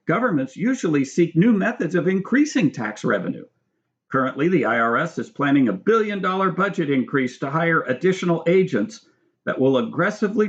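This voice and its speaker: A man reading his own book aloud as scripted narration, in a measured, formal manner. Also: fairly high pitch, lo-fi sound. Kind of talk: scripted narration with brief spoken asides.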